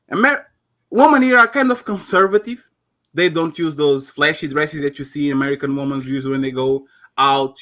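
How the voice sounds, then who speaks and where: Emphatic Portuguese-accented man, higher-pitched. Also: bright, forceful tone. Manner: emphatic, swearing, stumbling restarts. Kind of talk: heated casual debate.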